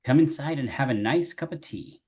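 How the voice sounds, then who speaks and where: A man doing a chatty little worm, husky. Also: medium pitch, animated, normal speed, a little mellow. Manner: homely, chatty, rough colloquial speech. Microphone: video call.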